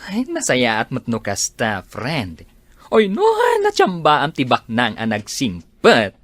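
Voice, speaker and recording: An actress plays an inquisitive friend chatting casually in a radio drama. Her voice is deep for a woman and slow, with a lively melody. The sound is close and dry. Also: no room sound, full character voice. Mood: curious, friendly. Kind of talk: radio drama acting in dialogue.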